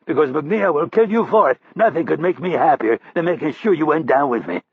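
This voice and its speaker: Man voicing a defiant swearing American prisoner, raised pitch, loud, husky, audiobook. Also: expressive, brisk, no echo, narrow, tinny audio. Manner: sarcastic, loud, cursing. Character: defiant American captive, foul-mouthed and mocking.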